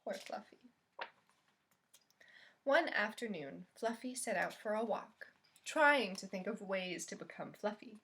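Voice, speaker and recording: A woman reading a picture book aloud to young children as a library storyteller, expressive and pausing to ask them questions. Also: mid-range voice.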